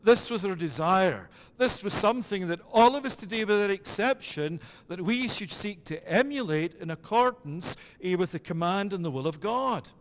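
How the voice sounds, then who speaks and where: A middle-aged Scottish man preaching a sermon as pastor, measured and deliberate, with a habit of repeating "it is that.". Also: high voice, animated, in a large hall. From Scotland.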